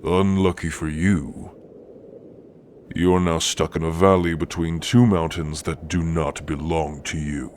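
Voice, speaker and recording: This is a grim orc warrior of the icy mountains, acted out by a man for an ASMR roleplay. The voice sits low and moves slowly, each phrase set down with deliberate menace. He talks down to the listener like a captor who owns them, over a clearly noisy ambient background. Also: flat delivery, a little mellow. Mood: cold, ominous. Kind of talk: slow, scripted ASMR roleplay monologue addressed to listener.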